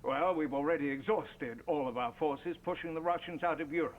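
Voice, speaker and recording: Allied leader, male actor, game briefing role: composed, serious, higher-than-average voice, slight background noise. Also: narrow, tinny audio, lightly acted. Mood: grave explaining. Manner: measured, statesmanlike.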